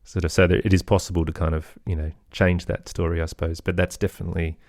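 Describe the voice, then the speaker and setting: A man with an Australian accent, talking as an interview guest in an agreeable, conversational way with softened phrasing. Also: sits low, level tone, quick pace, no echo, clean studio sound. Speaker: podcast guest, musician and composer.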